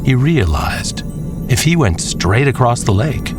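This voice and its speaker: A man narrating a scripted story with measured, dramatic, drawn-out phrasing. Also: mid-range voice, very animated, over music.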